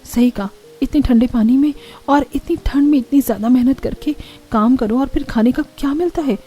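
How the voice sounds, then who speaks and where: Woman voicing a weary, mellow elder daughter-in-law. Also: sits high, moderate pace, husky, faint music behind. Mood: weary, grumbling. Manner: grumbling, confiding.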